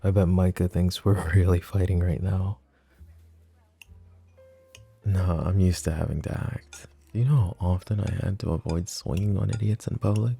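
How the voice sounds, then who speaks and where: A man performs a flirty, possessive mafia boss for an ASMR roleplay. His voice is very deep and dark, with a heavy fry running through it. He speaks intimately and teasingly, as if to a lover across the table, with soft music faintly behind. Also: fairly even intonation, normal speed, exaggerated voice. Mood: affectionate, confiding. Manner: intimate, teasing, casual, addressing the listener directly.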